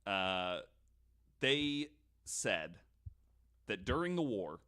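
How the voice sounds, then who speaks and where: A man hosting a podcast, telling a story to co-hosts in casual, comedic, animated chat with some swearing. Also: fairly low pitch, very slow, smooth.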